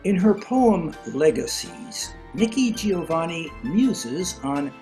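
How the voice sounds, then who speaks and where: A woman introduces each segment in a lower voice with lively pitch. Her voice comes through a video call, and faint music plays behind her. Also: takes it slowly.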